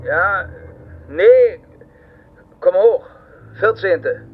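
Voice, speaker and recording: An actor playing a curt, suspicious former East German officer over an intercom in a radio drama, with a German accent. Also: male voice, high-pitched, expressive, extremely slow, phone-quality sound, exaggerated voice. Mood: suspicious, gruff. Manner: curt, clipped replies, startled and suspicious. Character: gruff, befuddled former Stasi officer answering an intercom.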